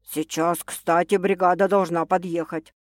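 Woman acting anxious man in love, lowered heavy voice, halting, serious, romance audiobook, dry sound. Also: flat delivery, no echo, lightly acted. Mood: serious, insistent. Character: gruff, anxious, shocked man in love, expectant father.

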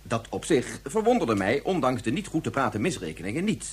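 An actor playing an agitated physicist in a Dutch radio drama, speaking in an earnest, formal, explanatory way. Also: male voice, mid-range voice, very animated, a little fast, breathy edge. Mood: earnest defence. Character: agitated male physicist defending his invention.

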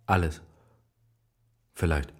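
A man's voice: slow pace, slight background noise, lightly acted. An actor playing a cold, ruthless henchman with a guilty secret in a radio drama, speaking in terse fragments. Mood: grim, terse.

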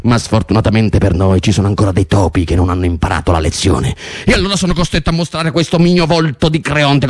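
A man's voice: mid-range voice, close to an everyday voice. An actor playing a pompous, falsely humble tyrant king in radio theatre, theatrical and unctuous, prodding his people with rhetorical questions. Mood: menacing. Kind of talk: stage-like radio theatre monologue.

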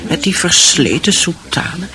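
An actor in a radio drama playing a chatty, socially ambitious provincial hostess with an effusive, condescending manner. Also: male voice, sits high, very animated, unhurried, sharp tone, exaggerated voice, low-quality recording. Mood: critical, confiding.

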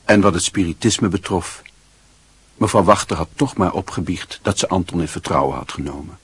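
A man's voice: deep voice, husky, exaggerated voice. An actor as a sober male storyteller, reading scripted narration for a radio play.

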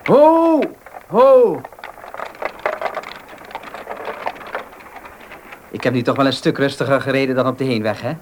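An actor playing an earnest, proud young farmer in a radio play, husky and plain-spoken, with emotional delivery. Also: male voice, medium pitch, huge pitch swings, brisk, noisy background. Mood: eager, courting. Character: earnest young horse-loving farmer, proud, short of money. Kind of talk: Dutch radio play acting.

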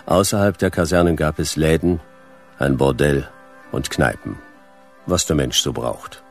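A world-weary hardboiled private eye, with a very deep voice full of gravel. He talks in clipped, laconic phrases, as in a noir radio play, with music behind him. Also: a little slow. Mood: brooding, laconic. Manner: clipped, laconic, dry delivery.

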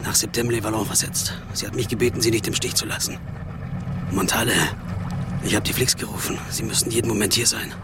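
A man's voice: fairly low pitch, little pitch movement, brisk, very noisy, natural voice. An actor playing a sullen but loyal policeman in a radio crime drama, talking in a clipped, matter-of-fact way. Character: brisk, sullen, loyal policeman sidelined from his case.

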